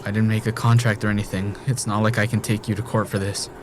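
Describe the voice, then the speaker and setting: Casting: male actor for obsessive murderer stuck in a time loop, audio drama, lowish voice, intense and pleading, clearly noisy ambience. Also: little pitch movement, fast talker, lightly acted.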